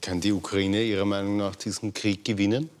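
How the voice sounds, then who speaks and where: A man in his thirties presents the news from a TV studio with a fairly low voice. He asks crisp, measured questions in a formal broadcast style. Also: flat delivery, a little mellow. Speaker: news anchor.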